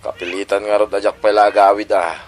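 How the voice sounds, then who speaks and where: Low-voiced, tender Filipino father, acted by a man. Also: flat delivery, fast talker, close to an everyday voice. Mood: earnest. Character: gentle, affectionate Filipino father and husband. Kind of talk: sentimental Ilocano audio drama acting.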